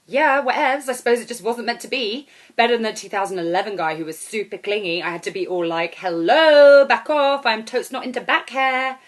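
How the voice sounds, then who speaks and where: A woman voicing a brash, chummy, overly bright woman in her thirties who over-shares in slang, in a storybook reading. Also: on the high side, brisk, hardly any pauses, voice pushed up, natural voice. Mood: breezy boasting. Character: brash, gum-chewing, overly bright thirty-something airport holiday girl.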